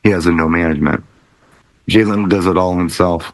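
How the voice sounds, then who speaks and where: A man chatting casually on a panel with wry, animated interjections. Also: low voice, a little fast, dry recording, low-quality recording. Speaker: DJ and podcast panelist.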